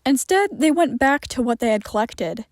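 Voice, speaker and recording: A woman's voice: sits high, lively intonation, moderate pace, no room sound, studio quality. A woman reading scripted fiction aloud as a narrator.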